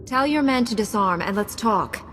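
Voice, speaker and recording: A woman playing a calm, principled young heroine, a Jedi Knight in a video game, speaking steadily, earnestly and diplomatically. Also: fairly high pitch, moderate pace. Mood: firm, earnest. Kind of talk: scripted video game acting. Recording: long reverb, game music behind.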